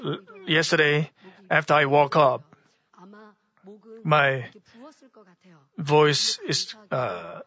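A man with a hoarse voice delivers a sermon in a halting way, often restarting his sentences. His voice sits a little high and sounds bright and sharp. The recording is dull and lo-fi. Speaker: church speaker. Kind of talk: sermon-style teaching.

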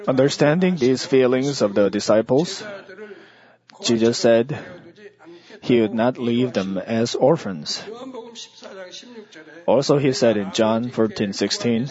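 A man delivering a church sermon in short, measured phrases, as an interpreter would. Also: mid-range voice, a little mellow. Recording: dull lo-fi audio.

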